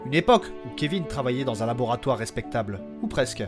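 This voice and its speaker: A man's voice: medium pitch, animated, fast talker. A man delivering scripted narration as the narrator and creator of an audio fiction podcast. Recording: faint music behind the voice.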